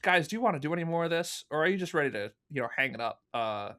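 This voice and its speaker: A man's voice: on the high side, lively intonation, quick pace, pushed and bright. A man speaking as a podcast guest, explaining his production work in a casual, conversational way. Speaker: interview guest, fan audio drama producer.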